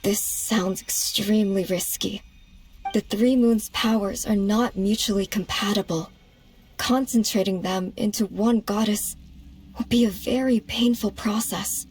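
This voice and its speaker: A woman voicing a composed, solemn goddess in an anime game, speaking in a measured, formal way. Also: mid-range voice, fairly even intonation, darkish tone, slightly rough. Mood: grave, cautioning. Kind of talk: anime game cutscene acting.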